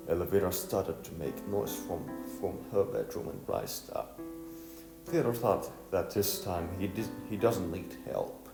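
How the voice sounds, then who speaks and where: A man reading scripted storybook narration in a halting way, stumbling over words and repeating them. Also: sits low, vocal fry. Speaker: narrator of an audiovisual novel. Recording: echoey room, music underneath.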